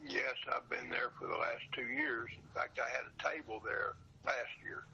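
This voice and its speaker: Old retired guy chatting in an interview, really rough scratchy voice w lots of fry, kinda dull recording. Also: mid-range voice, steady tone, moderate pace, pushed and bright. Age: older, retired. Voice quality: raspy, husky, gravelly. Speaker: podcast guest, seller of old radio show recordings. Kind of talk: casual interview chat.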